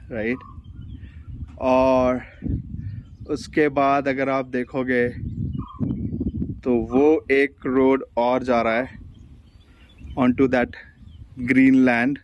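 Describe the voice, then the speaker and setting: Male travel vlogger, Indian accent, slow informal delivery with enthusiasm, medium-pitched voice, recorded outdoors. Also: highs cut off, slight background noise. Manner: mixes Hindi and English, informal and enthusiastic. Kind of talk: casual travel vlog chat to camera. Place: outdoors at a hillside viewpoint. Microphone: phone camera.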